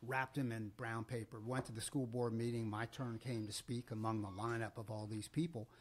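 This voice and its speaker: A middle-aged American man tells a story conversationally as a podcast co-host, deliberately and with pauses. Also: medium pitch, level tone, a little hiss or hum.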